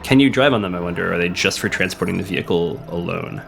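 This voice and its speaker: Low-voiced guy with a cold, hoarse and sniffly, just chatting through a game. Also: fast talker. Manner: thinks aloud, chatty, reads on-screen text. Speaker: let's play host. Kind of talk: casual gameplay commentary. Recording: game music playing behind the voice.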